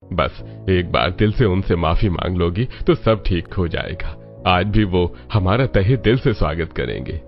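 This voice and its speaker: A man voicing a calm, reassuring older Indian husband and father-in-law in a cartoon family drama. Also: low-pitched, measured pace, dull audio, soft background music. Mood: calm, advising.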